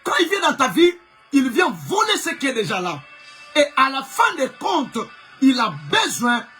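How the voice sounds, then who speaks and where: Fervent Congolese preacher, very high, bright voice. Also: male voice, wildly swooping pitch, moderate pace, faint music behind. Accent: Congolese French. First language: Chokwe. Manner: fervent, emphatic preaching, often shouted, repeating phrases. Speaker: pastor. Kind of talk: live-streamed preaching sermon.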